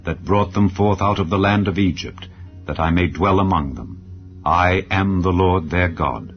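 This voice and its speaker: A man narrating scripture as a dramatized audiobook, in a solemn, measured and formal delivery. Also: low-pitched, lo-fi sound. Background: background music.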